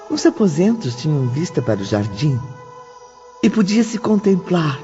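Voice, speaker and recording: Slow, expressive male storyteller over music. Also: medium pitch. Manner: lyrical, deliberate storytelling. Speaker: narrator. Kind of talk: scripted audiobook narration. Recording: dull lo-fi audio with background music.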